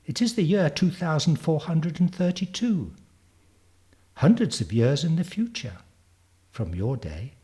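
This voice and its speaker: A man voicing a confident, precocious ten-year-old girl from the future in a storybook, brisk and matter-of-fact. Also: fairly high pitch, big pitch swings, mellow, voice pushed up. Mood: proud, eager. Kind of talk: storybook character reading.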